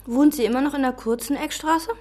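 An actress playing a withdrawn young hotel maid who answers in short words in a radio play. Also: female voice, high-pitched, fairly quick, a little mellow, lightly acted. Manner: terse, withdrawn.

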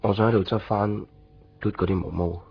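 A man's voice: on the low side, steady tone, a little fast, vocal fry, slight background noise. An actor playing a withdrawn, grieving, brooding young man in a radio drama, with a Hong Kong Cantonese accent. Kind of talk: introspective radio drama narration and dialogue. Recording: dull 1990s radio recording, music behind.

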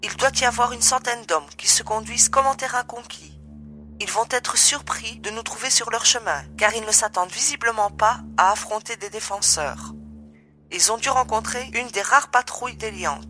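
Bright-voiced bold female fighter played by a woman. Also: a little high. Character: bold female fighter reporting calmly by radio. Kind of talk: amateur audio drama acting.

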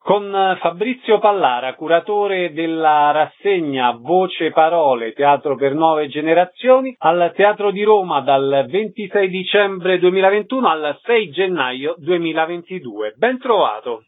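Male radio host, slightly higher pitch, narrow lo-fi broadcast sound. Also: lively intonation, measured pace, few pauses. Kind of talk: radio interview and announcements.

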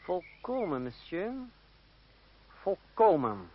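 An actor playing a witty, educated, self-assured wandering gentleman in a radio play, speaking eloquently with dry irony and courtesy. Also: high voice, very animated, takes it slowly, slightly rough, exaggerated voice. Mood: dryly confident. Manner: eloquent, dryly ironic, courteous. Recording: dull, hissy old radio recording.

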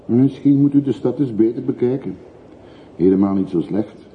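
An actor playing a talkative, obliging older railway porter in a radio play, chatty and deferential. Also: male voice, mid-range voice, moderate pace, natural voice. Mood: chatty, coaxing. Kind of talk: radio drama acting. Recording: muffled narrowband radio recording with hiss.

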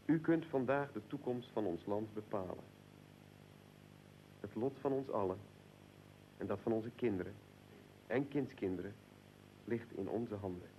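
A man playing a fervent, solemn nationalist conspirator leader in a radio drama, speaking in a deliberate, emphatic, oratorical way. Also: lowish voice, dark tone, a little hiss or hum, low-quality recording. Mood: determined.

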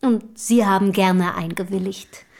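A woman's voice: mid-range voice, steady tone, a little slow, gravelly, darkish tone. An actress in a German radio play playing a passionate, bold young literary woman, with theatrical, pointed diction. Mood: probing.

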